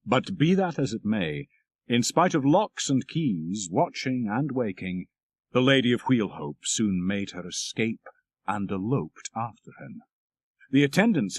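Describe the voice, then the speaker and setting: A man's voice: mid-range voice, dry recording. Audiobook narrator: man, dramatic literary storytelling, very varied intonation, dry close recording.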